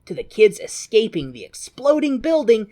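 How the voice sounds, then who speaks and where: A woman's voice: on the high side, very animated, normal speed, warm tone. A woman co-hosting a podcast, recapping a film in a casual, comedic way with playful, wry asides. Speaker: podcast co-host. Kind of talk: casual comedic movie recap, partly reading from notes.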